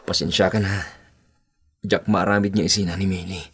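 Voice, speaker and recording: Radio drama role: cornered, evasive young boyfriend, Ilocano accent, deep husky voice. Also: male voice, little pitch movement, moderate pace, heavily acted. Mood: apologetic. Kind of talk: Philippine radio drama acting.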